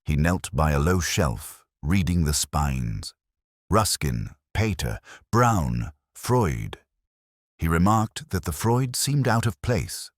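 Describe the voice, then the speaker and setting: Very deep-voiced man narrating a mystery. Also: big pitch swings, no echo, clean studio sound. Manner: measured, literary, melancholic delivery. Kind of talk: scripted first-person mystery narration.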